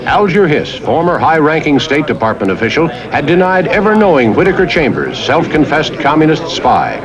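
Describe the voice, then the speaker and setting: A man's voice: fairly high pitch, sing-song, normal speed, music in the background, low-quality recording. An American man narrating history from a script in the manner of a newsreel documentary.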